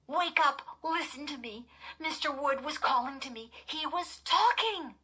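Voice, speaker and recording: Woman playing a high-strung, high-pitched frightened girl. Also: lively intonation, slow pace, pushed and bright, heavily acted. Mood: panicked, pleading. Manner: breathless, emotional, insistent. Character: frightened, high-strung young girl, pleading with her twin.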